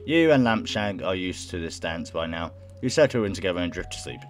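A man's voice: deep voice, expressive, a little fast. A young man reading game text aloud and reacting casually, as in a let's play. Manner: stumbles over words while reading. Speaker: gaming video host. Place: small room at home, indoors. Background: game music.

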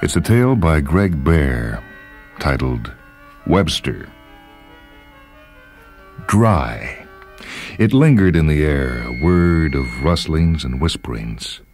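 A man reading literary short fiction as a radio host, in a measured, dramatic storytelling manner. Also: very deep voice, very animated, darkish tone. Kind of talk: literary scripted narration. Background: background music.

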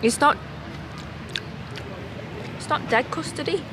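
A woman's voice: high-pitched, slow pace. A woman, a travel vlogger chatting casually outdoors. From Britain. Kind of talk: casual vlog chat. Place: outdoors in a city park. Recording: noisy outdoor location sound.

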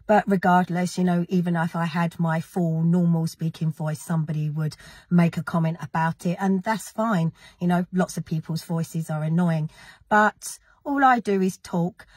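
A woman, her voice gravelly after an illness, talking indignantly and emphatically straight to camera in a vlog. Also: fairly low pitch, moderate pace, a little mellow, dry recording. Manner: indignant, emphatic, addressing critics directly. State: gravelly, recovering from illness, with a cough. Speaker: activist vlogger.

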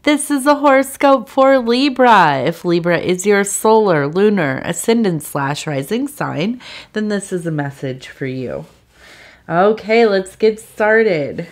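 A woman with a congested cold giving a soft-spoken, meandering tea leaf reading, with frequent fillers. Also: mid-range voice, lively intonation. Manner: slow, meandering, with many fillers and soft laughs. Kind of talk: soft-spoken ASMR tarot-style reading.

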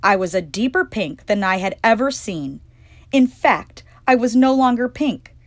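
A woman hosting storytime, reading a children's picture book aloud with expressive, clearly phrased delivery. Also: on the high side, unhurried, no echo. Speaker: storytime reader and host.

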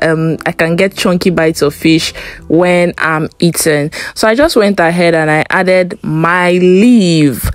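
A young woman with a Nigerian English accent talks through her cooking as she goes. Her voice sits a little low, and she is chatty and instructive, slipping in loose asides along the way. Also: dry recording. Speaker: cooking vlogger. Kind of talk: casual cooking voice-over.

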